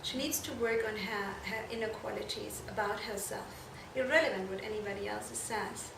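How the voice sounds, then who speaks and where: A woman from Germany, answering interview questions earnestly and haltingly, correcting herself as she goes. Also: mid-range voice, fairly even intonation, gravelly. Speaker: fashion and shoe designer being interviewed. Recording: echoey room, distant mic, noisy background.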